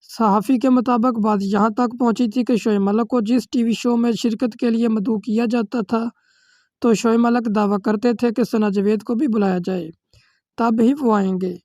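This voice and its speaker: A woman's voice: on the high side, mellow, no echo, clean studio sound. A woman with a Pakistani accent reading a scripted celebrity news voice-over steadily, in formal phrasing. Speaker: news narrator.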